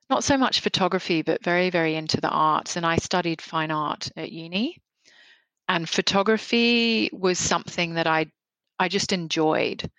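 A woman in her forties from Kenya answers interview questions with a somewhat low voice. She speaks reflectively, restarting sentences and repeating words as she thinks. The sound is dry and close. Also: a bit bright, no echo, low-quality recording. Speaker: interview guest, photographer.

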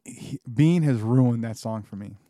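A man's voice: mid-range voice, a little slow, mellow, no echo. Teasing man in his thirties, dry mic. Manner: teasing, joking banter. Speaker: podcast co-host. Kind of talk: casual chat.

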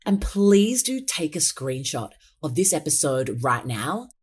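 A woman's voice: sits low, unhurried, husky. A woman hosting a podcast, reading a scripted intro and then chatting enthusiastically with a guest. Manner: enthusiastic, upbeat, animated. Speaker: podcast host.

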